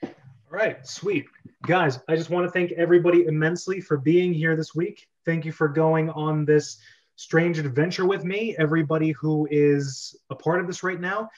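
Man, podcast host, casual chat, slightly raised pitch, dull lo-fi call audio. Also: steady tone, moderate pace. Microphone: video call.

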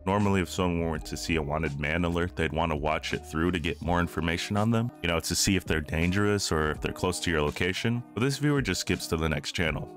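A man with a very low voice delivers scripted narration for an analytical video essay. He speaks as the narrator, with faint music playing behind him. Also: fast, hardly any pauses.

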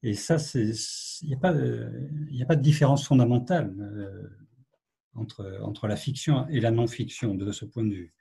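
Husky, soft-voiced man musing on writing. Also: mid-range voice, normal speed. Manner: reflective, hesitant, many fillers and restarts. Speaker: novelist, guest. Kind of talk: online literary conversation. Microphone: video call.

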